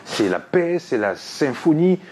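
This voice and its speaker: A man hosting a radio show, talking with quick, preaching insistence. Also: on the high side, sing-song, darkish tone, a little hiss or hum. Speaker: radio host. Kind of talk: radio talk and presenting.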